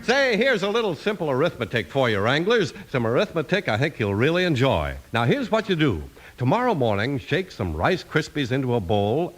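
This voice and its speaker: Animated high-voiced male radio announcer. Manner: polished, emphatic salesman delivery. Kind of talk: 1950s radio commercial and announcing, scripted. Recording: old radio transcription. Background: music.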